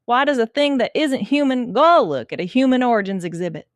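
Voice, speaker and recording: A woman's voice: a little high, brisk, no room sound, clean studio sound, natural voice. A woman playing a tough, blunt female Texas Ranger in a sci-fi audio drama, direct and questioning with a wry edge. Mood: intent, wry.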